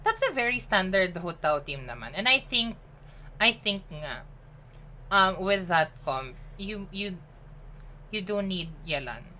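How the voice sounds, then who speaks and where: A woman's voice: mid-range voice, expressive, measured pace, sharp tone, a little hiss or hum. A woman, chatting casually on a game live stream, upbeat, mixing in Tagalog and laughing often. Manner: chatty and upbeat, mixes English with Tagalog, laughs often, greets chat by name.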